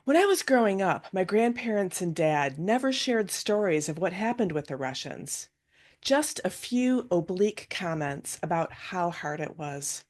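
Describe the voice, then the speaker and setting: A woman's voice: fairly low pitch, sing-song, a little slow, a little mellow. A woman minister giving a recorded video sermon, telling a personal family story in an earnest way.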